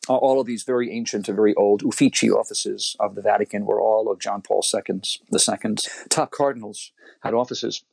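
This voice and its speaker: Low-voiced man telling his story. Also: steady tone, fairly quick, creaky, a little mellow, husky, dry recording, rough recording. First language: Italian. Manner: rambling, repeats words and restarts often. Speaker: composer and guitarist guest. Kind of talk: conversational interview storytelling.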